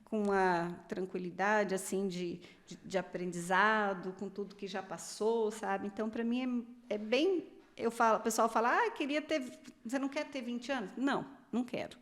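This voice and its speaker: A woman's voice: mid-range voice, steady tone, normal speed. A Brazilian woman in her fifties, a podcast guest telling personal stories in a chatty, casual way. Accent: Brazilian Portuguese. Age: 50s. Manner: chatty, storytelling, laughs often. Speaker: guest, pre-candidate.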